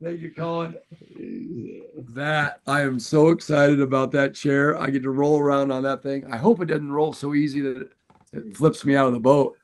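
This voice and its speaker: An older man with a raspy voice, co-hosting a casual podcast and telling his story earnestly, often laughing. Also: medium pitch, flat delivery, moderate pace. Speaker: podcast co-host.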